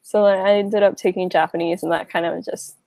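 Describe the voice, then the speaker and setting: A young woman from Hawaii answers questions in a relaxed, meandering way, with lots of fillers and restarts. Her voice has a gravelly edge and a mellow, dark tone. The sound is dry and close. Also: fairly low pitch, a little fast, no echo. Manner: rambling, many likes and restarts. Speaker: interviewee. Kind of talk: casual interview.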